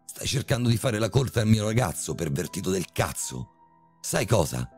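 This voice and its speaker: A man playing a furious, brutal middle-aged criminal father who shouts vulgar threats in a horror story reading. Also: fairly low pitch, very animated, moderate pace, husky, faint music behind, voice pushed up, exaggerated voice. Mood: enraged.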